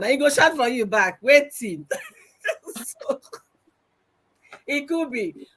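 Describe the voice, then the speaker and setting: Host: Nigerian woman, mid-pitched, preachy, keeps checking listeners follow. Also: fairly bright tone. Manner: preachy, asks "you understand?" often. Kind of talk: casual panel chat.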